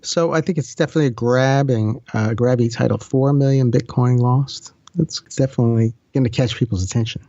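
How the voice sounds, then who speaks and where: A man's voice: medium pitch, brisk, few pauses, mellow, muffled recording. A man co-hosting a casual podcast, easygoing and agreeable, slightly under the weather. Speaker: podcast co-host. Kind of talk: casual chat.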